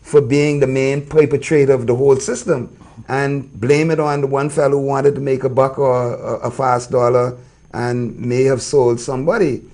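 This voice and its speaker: Male talk host, gravelly, mid-low voice, earnest and conversational. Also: level tone, moderate pace, darkish tone. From Bahamas. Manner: earnest, frequent low murmured agreements. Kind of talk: casual interview conversation.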